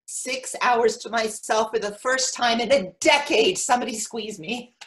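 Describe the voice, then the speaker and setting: Carefree mother played by a woman, fairly high voice, cheeky and dry staged monologue. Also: unhurried, a bit bright. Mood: playful. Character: cheeky, carefree mother enjoying her freedom.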